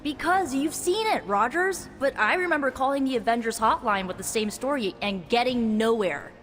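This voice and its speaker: A woman's voice: fairly high pitch, lively intonation, moderate pace, a bit bright. A woman playing a sardonic young hero in a video game cutscene, blunt, wry and exasperated. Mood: bitter, exasperated. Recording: long reverb, faint music.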